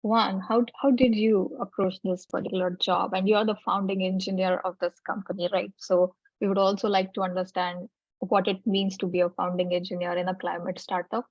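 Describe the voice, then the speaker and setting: A woman hosting an informal interview, asking questions in a halting way and rephrasing as she goes. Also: mid-range voice, little pitch movement, no room sound, muffled recording. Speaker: host interviewing guests.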